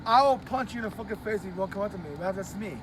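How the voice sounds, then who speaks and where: A man's voice: high-pitched, rapid-fire, a little hiss or hum, low-quality recording. A man from California talking casually outdoors on a city street at night, emphatic and profane, repeating his words. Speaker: interviewee.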